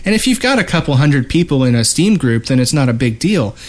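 A man's voice: mid-range voice, sing-song, a little fast. A man hosting a podcast in an informal, conversational way, chatting with co-hosts and reading out sign-offs. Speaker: podcast host. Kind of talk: casual podcast banter and sign-off.

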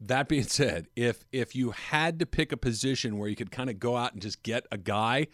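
A man's voice: medium pitch, normal speed, fairly bright tone, no room sound, clean studio sound. An American man hosting a sports talk radio show, bantering and working through points by rephrasing them with analogies.

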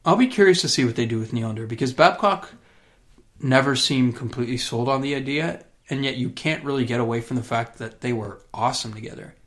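A man's voice: mid-range voice, a little fast, a bit bright. A man co-hosting a hockey podcast, talking in a conversational, musing way. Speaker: podcast co-host, hockey writer. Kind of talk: casual sports chat.

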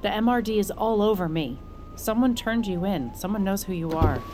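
A woman's voice: medium pitch, expressive, normal speed, darkish tone, a little hiss or hum, lightly acted. A woman playing a regretful, guarded woman in an audio drama. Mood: regretful, resigned. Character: regretful woman, sister of the officer's suspect. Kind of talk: immersive audio drama acting.

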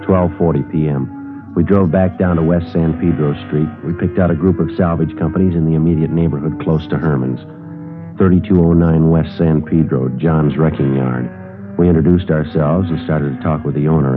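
An American man playing a terse, methodical police sergeant in a 1950s radio drama, narrating and questioning in a clipped, deadpan way. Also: a bass voice, normal speed, narrow, tinny audio. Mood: businesslike. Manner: deadpan, matter-of-fact. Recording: old band-limited radio broadcast, music behind.

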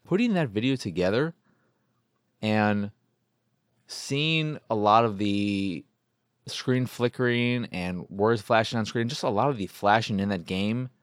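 A man's voice: lowish voice, measured pace, no room sound, clean studio sound. A man hosting a casual solo video game podcast, rambling and jokey, often interrupting himself and repeating words for emphasis. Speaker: podcast host. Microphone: close podcast microphone in a dry space.

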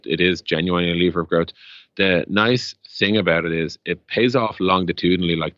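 Deep-voiced Irish man in an echoey room. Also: a bit bright, highs cut off. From Ireland. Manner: rambling, explanatory, peppered with "like" and "you know". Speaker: interview guest, tech startup co-founder. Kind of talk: conversational business interview.

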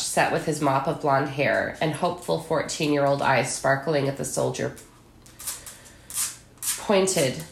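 A woman's voice: sits low, reverberant, a little hiss or hum. An American woman from southern Illinois, an author speaking as a podcast guest. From southern Illinois, United States. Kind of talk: literary reading and interview talk.

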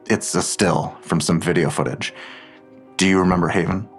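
An actor playing a protective, secretive man digging into a crime, speaking in a measured, reassuring way in an audio drama. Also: deep voice, fairly even intonation, breathy edge, soft background music. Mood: guarded, focused.

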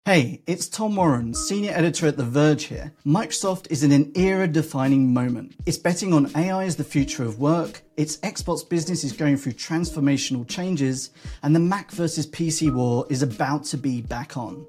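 A man reading a scripted, upbeat promo for a newsletter. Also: darkish tone. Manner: brisk, upbeat pitch. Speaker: senior editor reading a promo.

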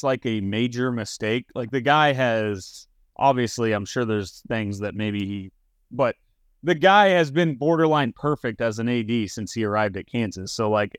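A man's voice: mid-range voice, smooth, dry recording, clean studio sound. A man hosting a casual sports talk show, conversational and emphatic with his opinions. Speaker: sports podcast host.

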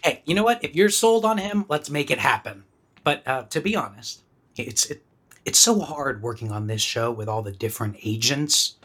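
An actor playing an eager, flattering, scheming talent agent in an audio comedy. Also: male voice, medium pitch, normal speed, breathy edge. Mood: ingratiating. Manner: eager, ingratiating. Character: smooth-talking, flattering, scheming young male talent agent.

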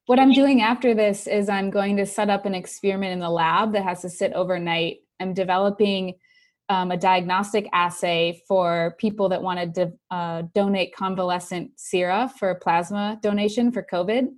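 A woman's voice: mid-range voice, steady tone. A young woman with a Wisconsin accent, chatting as a guest on a casual podcast and telling stories with lots of "like". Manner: chatty, quick storytelling with many "like" fillers. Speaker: guest scientist.

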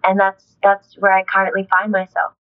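An earnest young woman reflects aloud in an interview, her speech loose and filled with "like" and "you know". Her voice sits at an ordinary pitch. The audio is a little muffled and dull. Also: completely flat delivery, moderate pace. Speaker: interview guest, actress.

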